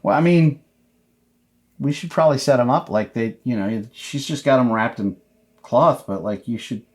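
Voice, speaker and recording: A man's voice: medium pitch, moderate pace, darkish tone, natural voice. Man acting small boy, bug nerd, show-off, precise phrasing, tabletop roleplay. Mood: helpful. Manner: precise, matter-of-fact show-off. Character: nerdy, know-it-all little brother, bug enthusiast boy.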